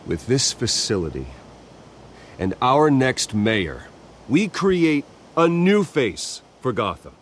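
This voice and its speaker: An American actor playing a composed, wealthy philanthropist in a video game, speaking in a measured, earnest and proud way. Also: male voice, medium pitch, sing-song, slight background noise. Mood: earnest, solemn. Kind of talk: video game voice acting, public speech.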